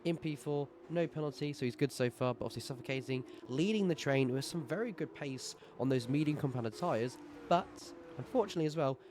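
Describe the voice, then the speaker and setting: A man with a sore throat giving brisk live play-by-play commentary on a sim race, rising in excitement at overtakes. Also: on the high side, a little hiss or hum.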